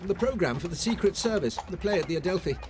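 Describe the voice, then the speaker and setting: A man's voice: high voice, lively intonation, fast, background noise. A man playing an earnest, affable Victorian doctor in a radio drama, speaking in an eager, polite way.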